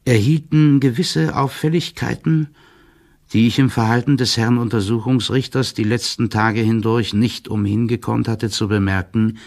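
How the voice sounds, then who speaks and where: A deferential court clerk in an audio drama. His voice is low and creaky, and he sets out his report with formal, fussy care. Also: a little mellow. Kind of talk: audio drama, letter read as monologue.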